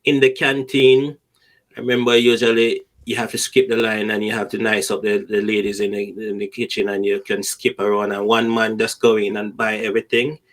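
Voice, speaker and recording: A man's voice: lowish voice, level tone, fairly quick. A middle-aged man with a Jamaican accent, chatting casually as a podcast guest and telling anecdotes, often repeating words for emphasis.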